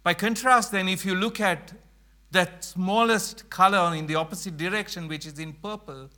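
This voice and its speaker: A middle-aged man from Sri Lanka giving an earnest church presentation, slowing down to stress key phrases. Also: high-pitched, bright, forceful tone. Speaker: mission speaker.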